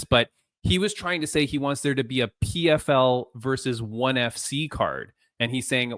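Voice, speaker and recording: A male podcast host, asking questions in an excited, casual way, full of "dude" and slang. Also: mid-range voice, dry recording. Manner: excited, says "dude" and "frickin'".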